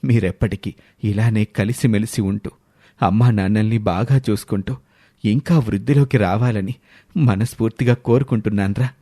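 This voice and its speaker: A man's voice: fairly low pitch, moderate pace, mellow, pitched below the performer's own voice, lightly acted. A man voicing a kindly, forgiving older uncle in a family story, earnest and affectionate, with music behind. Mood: proud, moved. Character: kindly hurt elder brother, older village uncle, gentle and forgiving.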